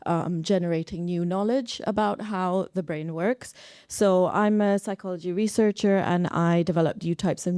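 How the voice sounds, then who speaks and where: A woman researcher from Pakistan speaks informally on a discussion panel. Her voice sits a little low and is very soft-edged and mellow. The sound is dry, with very little room in it. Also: smooth, no echo. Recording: live event recording.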